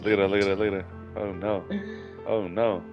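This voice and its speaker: A man with a low voice and heavy creak chats through his gameplay. He is casual and slangy, tossing out joking reactions over faint game music. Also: little pitch movement, fairly quick. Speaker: gamer playing a co-op game.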